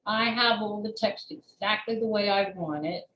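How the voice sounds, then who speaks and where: A woman's voice: medium pitch, normal speed, a bit bright. A woman talking as an interview guest, an author explaining her work in careful detail. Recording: roomy echo, faint background noise.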